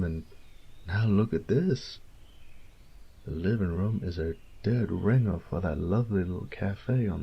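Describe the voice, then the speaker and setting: Man playing a low, creaky boyfriend. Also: dark tone, heavily acted. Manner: drawling, teasing, tender, coaxing, with breathy near-whispers. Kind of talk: intimate scripted audio roleplay addressed to the listener.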